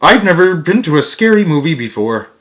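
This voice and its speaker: A bossy, no-nonsense lady animal from a picture book, read by a man, chiding and emphatic. The pitch moves freely up and down. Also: very animated, brisk, fairly bright tone. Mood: complaining. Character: matter-of-fact, bossy female storybook animal best friend Martha.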